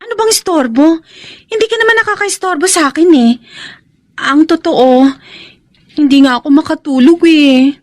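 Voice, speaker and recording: A woman's voice: high voice, normal speed, pauses often, dry recording, clean studio sound, lightly acted. A woman playing a sweet, coy sweetheart in a Filipino radio drama, gushing with affection.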